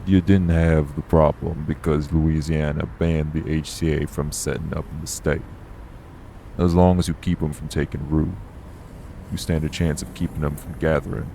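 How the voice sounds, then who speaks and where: A man playing a steady, guarded, streetwise vampire traveler in an audio roleplay, matter-of-fact with folksy clipped word endings. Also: very deep voice, moderate pace, vocal fry, a little hiss or hum. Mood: calm, wary.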